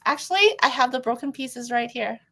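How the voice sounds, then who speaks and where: A woman's voice: sits high, expressive, fairly quick, bright, forceful tone. A young woman from Toronto, whose first language is Cantonese, talks casually as a podcast guest, thinking aloud with many fillers and restarts. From Toronto, Canada. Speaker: guest artist.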